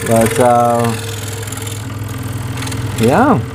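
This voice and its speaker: Norwegian-accented male streamer, unhurried and rambling, mid-pitched, with a noisy hum in the room. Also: very animated. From Norway. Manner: rambling, halting, many fillers and restarts. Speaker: woodworking streamer. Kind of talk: casual live-stream chat.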